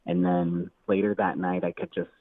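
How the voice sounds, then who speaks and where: A man's voice: deep voice, flat delivery, moderate pace, dry recording. A man calling in as a podcast guest over the phone, casually telling a personal story in an easygoing way. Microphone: phone call. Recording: tinny narrowband phone line.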